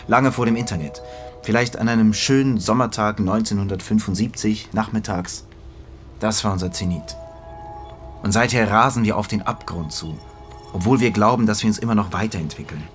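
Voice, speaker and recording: A man's voice: fairly low pitch, brisk, fairly bright tone, soft background music, lightly acted. An actor playing a troubled, suspicious man in a science-fiction radio drama, serious and brooding in manner. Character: troubled, suspicious man named Newman in futuristic studio city.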